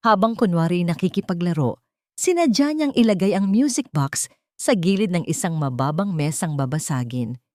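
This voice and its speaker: A woman reading a scripted romantic drama story in a measured, dramatic storytelling manner. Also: on the low side, animated, darkish tone, dry recording, clean studio sound. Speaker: narrator.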